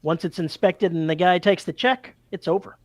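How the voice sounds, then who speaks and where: A man's voice: high-pitched, moderate pace, no room sound. A man co-hosting a podcast, telling a story in a dry, matter-of-fact way. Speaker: podcast co-host. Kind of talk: casual chat.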